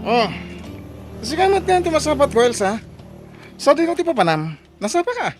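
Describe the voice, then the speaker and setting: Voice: sits high, big pitch swings, normal speed, music in the background. An actor playing a boastful, easygoing village husband and father with an Ilocano accent in an audio drama. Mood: smug.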